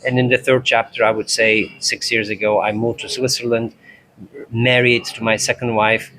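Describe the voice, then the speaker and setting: A Turkish-born man in his forties talks about his life in dance. His storytelling is relaxed and reflective, and he acts out past conversations as he goes. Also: mid-range voice, normal speed, slight background noise, poor audio. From Turkey. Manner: thoughtful, narrates in chapters, acts out dialogue. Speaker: tango instructor, interview guest.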